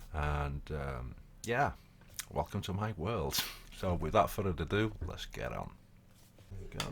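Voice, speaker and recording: Portuguese guy hosting a chill live chat, real low voice, lots of ums and uhs. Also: slight background noise. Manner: rambling, many fillers and restarts. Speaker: host. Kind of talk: casual live talk.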